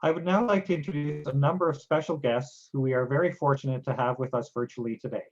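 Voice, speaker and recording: A man's voice: mid-range voice, fairly even intonation, fast. A man hosting an awards ceremony, reading out names and titles carefully with occasional fillers. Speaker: event host. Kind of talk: formal ceremony introductions. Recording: dull lo-fi sound.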